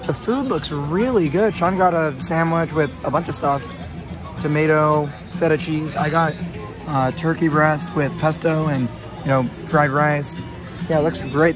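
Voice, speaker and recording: A young man vlogging at a theme park, enthusiastic and chatty, filming himself with a handheld camera outdoors. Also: on the high side. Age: young adult. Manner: enthusiastic, upbeat, yelling on rides. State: losing his voice. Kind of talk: casual vlog commentary. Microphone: handheld camera at arm's length. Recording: loud wind and ride noise.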